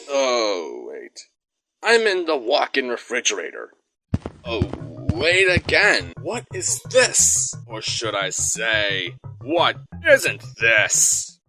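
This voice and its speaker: A man playing an excitable, melodramatic cartoon character with a gravelly voice and theatrical, drawn-out delivery in a fandub. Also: mid-range voice, soft background music, heavily acted, rough recording.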